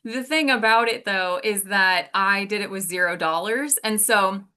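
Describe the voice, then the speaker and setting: A woman with a bright, crisp-edged voice at a medium pitch, talking as a guest in a casual interview. She explains things in an informal, encouraging way. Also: moderate pace. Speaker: podcast guest, author and storyteller.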